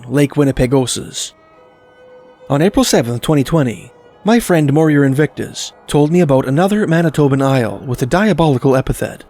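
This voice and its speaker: A man delivers scripted documentary narration of eerie tales in a measured, articulate way. His mid-pitched voice carries a noticeable gravelly creak, and music plays softly behind him. The phrasing is formal, like a storyteller reading from a script.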